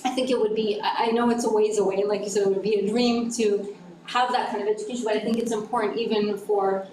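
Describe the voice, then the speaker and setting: Fast, flat-voiced woman in an echoey room. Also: mid-range voice. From Canada. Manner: flat, quick, thinking aloud with many ums. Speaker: audience member. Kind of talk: audience comment at a symposium. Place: university symposium room. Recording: echoey room with clear noise.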